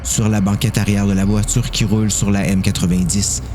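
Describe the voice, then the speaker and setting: A man's voice: fairly low pitch, flat delivery, fairly quick, a little mellow, slight background noise. A man with a Québécois accent giving a dramatic scripted narration of a story. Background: music.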